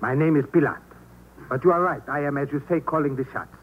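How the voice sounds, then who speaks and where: An actor playing a polite, menacing saboteur in 1950s radio thriller style, speaking formally and precisely with cool threat. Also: male voice, fairly high pitch, fast, close to an everyday voice. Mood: cool, controlled threat. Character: polite, menacing male saboteur with a briefcase bomb. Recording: dull, noisy old radio recording.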